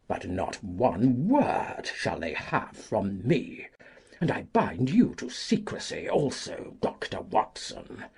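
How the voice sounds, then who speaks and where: A woman voicing a cantankerous, self-important old gentleman in an audiobook, with a husky, gravelly voice and pompous, emphatic delivery. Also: very deep voice, voice pushed down, exaggerated voice. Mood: smug. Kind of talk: audiobook character reading.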